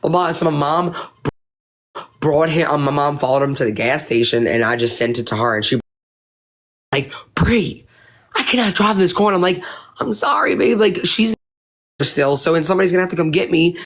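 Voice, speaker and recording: Animated, rambling woman livestreamer. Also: low voice, normal speed, pauses often. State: losing her voice. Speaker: livestream host. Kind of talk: casual livestream chat.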